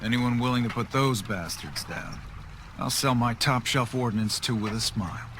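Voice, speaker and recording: A bitter, vengeful islander in a video game , acted by a man. He is blunt and hot-headed, selling guns in a medium-pitched male voice. Faint ambient noise sits behind him. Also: normal speed, a bit bright, natural voice. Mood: scornful, gruff. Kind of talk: video game character acting.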